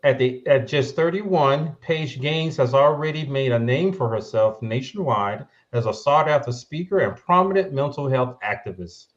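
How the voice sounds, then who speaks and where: Man hosting a talk show, measured, halting pauses, mid pitch, muffled lo-fi sound. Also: lively intonation.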